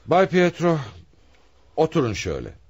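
An actor playing a stern, hard-pressed police commissioner in a radio play, speaking in an insistent, commanding way. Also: male voice, on the high side, wildly swooping pitch, moderate pace, breathy edge, full character voice. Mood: firm, probing. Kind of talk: radio theatre acting.

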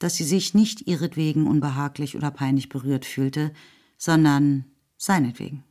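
A woman's voice: sits low, a little mellow. A woman giving scripted literary narration as the narrator of a radio drama.

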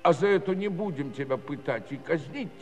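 A man's voice: a little high, animated, in a large hall, faint music behind, lightly acted. An actor playing a proud, earnest village chairman, telling his story in a folksy in a stage musical. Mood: earnest, defiant. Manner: folksy rural storytelling with dramatic pauses. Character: Cossack village chairman recounting a bandit attack, earnest and proud. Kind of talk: theatrical dramatic monologue in a musical play. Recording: 1979 studio musical recording.

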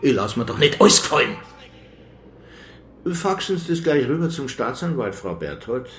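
An actor playing an officious, indignant senior police official, insistent and bureaucratic, in a radio crime drama. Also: male voice, mid-range voice, big pitch swings, moderate pace, fairly bright tone, roomy sound.

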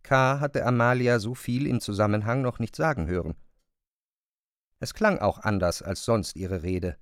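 A man delivers literary narration in a mid-range voice. His phrasing is measured and precise, and the sound is very dry and close. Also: medium pitch, expressive, clear, resonant tone, dry recording.

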